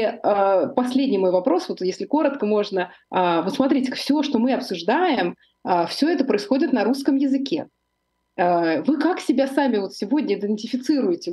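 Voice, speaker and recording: Mellow-voiced woman hosting an interview. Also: medium pitch. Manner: measured, polite, with brief affirmations. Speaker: interview host.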